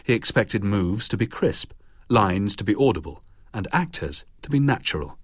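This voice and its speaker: A man reads scripted documentary narration at a measured, steady pace. His voice sits a little low with a slightly husky edge, recorded close and dry with no room around it. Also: no echo. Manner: measured, even reading.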